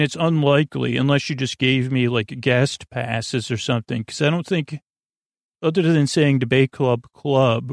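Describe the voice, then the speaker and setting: Male podcast host, creaky voice, close dry sound; whimsical, halting bedtime rambler who wanders off mid-sentence. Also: medium pitch, no room sound. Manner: meandering, halting, trailing off mid-thought; goofy, whimsical. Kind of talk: rambling sleep podcast monologue.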